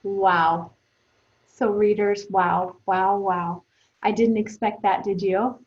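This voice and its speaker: A woman teacher thinking aloud for young pupils in a conversational, expressive read-aloud lesson, speaking to them directly. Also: mid-range voice, takes it slowly, highs cut off.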